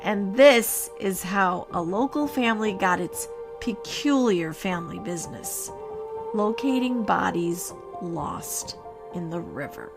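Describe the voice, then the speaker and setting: A woman of medium pitch narrates a scripted ghost story as a podcast host. Her delivery is measured and theatrical, with pauses placed for drama. Music plays clearly behind her voice. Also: animated.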